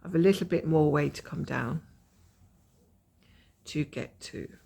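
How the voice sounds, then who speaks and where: A woman with a croaky voice from a cold, chatting casually and rambling as she shows her cross-stitch progress from home. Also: low voice, unhurried, warm tone. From Scotland. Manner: rambling, chatty, self-mocking.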